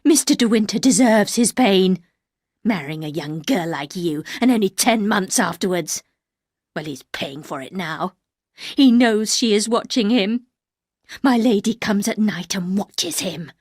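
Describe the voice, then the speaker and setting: A woman voicing a bitter, grieving older housekeeper who speaks in a harsh, cold, slow and accusing way. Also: mid-range voice, expressive, no echo, clean studio sound, close to an everyday voice.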